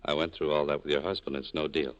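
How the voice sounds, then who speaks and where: A very low-voiced, bitter man of the world, running a cafe and guarding old wounds. He talks in terse, sardonic lines in the manner of a 1940s radio play. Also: little pitch movement, fast talker, lo-fi sound. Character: bitter, cynical cafe owner, hard-shelled former lover. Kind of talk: 1940s radio drama acting.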